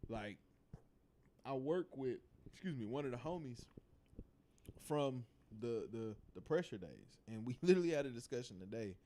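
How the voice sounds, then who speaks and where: A man's voice: mid-range voice, lively intonation, moderate pace, dark tone, a little hiss or hum. Southern man hosting casual talk. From South Carolina, United States. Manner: slangy, swearing freely, leading the discussion with questions. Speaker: livestream talk show host. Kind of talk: casual podcast discussion.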